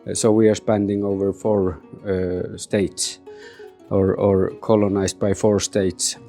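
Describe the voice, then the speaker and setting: Hesitant Sami man, dark mellow voice. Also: low-pitched, little pitch movement, slow, soft background music. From Sapmi, northern Scandinavia. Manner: hesitant, many fillers and repeated words. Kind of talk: unscripted interview answer. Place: summit venue in Geneva.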